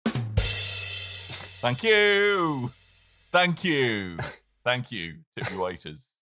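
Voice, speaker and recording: A man's voice: mid-range voice, wildly swooping pitch, very slow, over music. A man with a Birmingham accent hosting a casual podcast, joking and bantering with his co-hosts. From England. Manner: jokey, playful banter. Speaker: podcast host. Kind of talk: casual chat.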